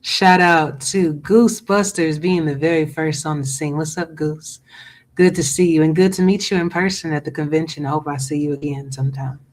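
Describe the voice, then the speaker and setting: Brief: man, show host, hoarse voice, upbeat and drawling. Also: on the high side, steady tone, normal speed. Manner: upbeat, drawling, many ums. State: losing his voice. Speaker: podcast host. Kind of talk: casual chat show hosting.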